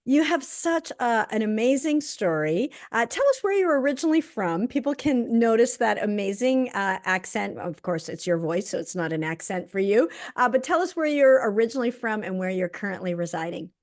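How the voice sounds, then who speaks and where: A woman's voice: a little high, animated, normal speed, few pauses. A woman hosting an interview show as a doctor, talking in an enthusiastic, chatty way with many fillers. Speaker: host and doctor.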